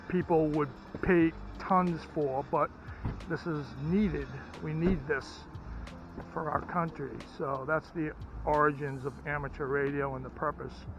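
Muffled, higher-pitched American man vlogging outdoors. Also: noisy background. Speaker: vlogger and ham radio hobbyist. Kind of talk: casual vlog narration. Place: outdoors at a fairground. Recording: muffled outdoor camera audio.

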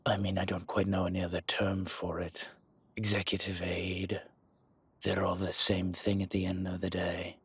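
A man playing a suave, scheming dragon mob boss in an intimate audio roleplay, with measured, husky, breathy delivery and deliberate pauses. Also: deep voice, monotone, a bit bright, dry recording, exaggerated voice. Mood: sly, persuasive. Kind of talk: slow, intimate scripted roleplay monologue.

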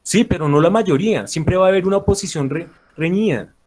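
A man's voice: high-pitched, a little fast, a little mellow. A man with a Colombian accent in an informal political debate on a voice chat, defensive and often repeating himself. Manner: defensive, repetitive. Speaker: debate guest. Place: online group voice chat.

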